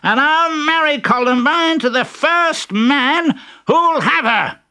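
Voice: high-pitched, lively intonation, takes it slowly, sharp tone, pitched above the performer's own voice, heavily acted. A storyteller voicing Pantalone, an angry old father who blusters indignantly. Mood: furious.

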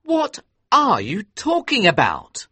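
A man voicing an earnest, easily flustered young gentleman who exclaims and pleads, in a storybook reading. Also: sits high, wildly swooping pitch, takes it slowly, breathy edge, no room sound, voice pushed up, heavily acted.

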